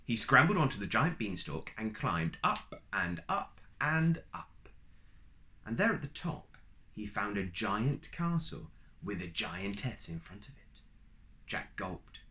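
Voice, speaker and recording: A man reading a children's storybook aloud, animated, with asides to the listener. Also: fairly low pitch, slow.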